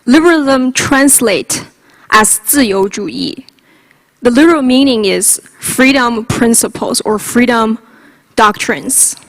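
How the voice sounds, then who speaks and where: Higher-pitched Chinese-accented woman giving a talk. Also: slow pace, dry recording, rough recording. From China. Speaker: conference speaker. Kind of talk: lightning talk to an audience, prepared.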